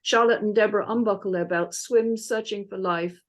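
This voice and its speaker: A woman's voice: mid-range voice, fairly even intonation, normal speed. A woman reading out stage directions as scripted narration.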